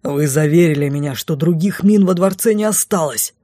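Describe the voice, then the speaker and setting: Storybook king, hot-tempered and authoritative, barking delivery, mellow tone, played by a woman. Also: low-pitched, moderate pace, dry recording, clean studio sound. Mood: angry, commanding. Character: commanding, hot-tempered king, anxious father.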